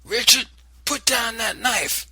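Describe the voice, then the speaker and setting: A man voicing a frantic elderly grandmother, shouting in alarm. The voice is pushed high and sharp. It sounds husky and close. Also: slow pace, no room sound, exaggerated voice. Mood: distraught. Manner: shouting, tearful. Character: elderly grandmother, shrill and distraught.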